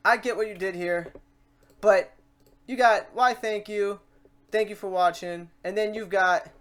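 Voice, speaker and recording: A tutorial host with an androgynous, mid-pitched voice walks through software steps in an informal way. The delivery is conversational and unscripted, with the speaker often talking through each step to themselves. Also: fairly even intonation, unhurried. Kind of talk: casual software tutorial, thinking aloud.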